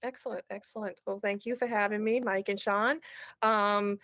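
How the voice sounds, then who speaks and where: A woman's voice: mid-range voice, steady tone, moderate pace, fairly bright tone, no room sound, clean studio sound. A middle-aged woman with a strong Boston accent, a guest answering interview questions in a chatty way with many ums and restarts over a remote call. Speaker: guest, ed tech consultant.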